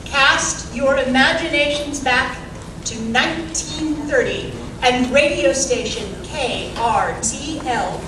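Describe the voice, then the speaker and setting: A woman giving a live welcome speech to an audience as director of a theatre company. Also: on the high side. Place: large echoey indoor venue with an audience. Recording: noisy, very echoey hall from far off.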